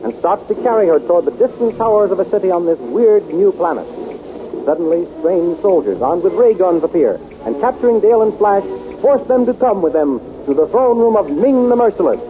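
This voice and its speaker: A man's voice: high-pitched, big pitch swings, a little fast, narrow, tinny audio, music in the background. A man narrating a 1930s radio serial in a dramatic, declamatory style, on a crackly old recording.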